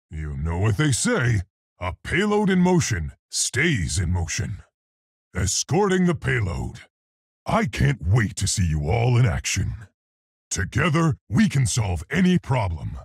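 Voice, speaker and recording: An actor playing a big, earnest, awkward scientist gorilla in a video game, with a gravelly, husky voice and deliberate, scholarly phrasing. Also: male voice, mid-range voice, no echo, studio quality, full character voice. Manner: deliberate, precise, scholarly, hesitant. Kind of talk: video game character voice lines.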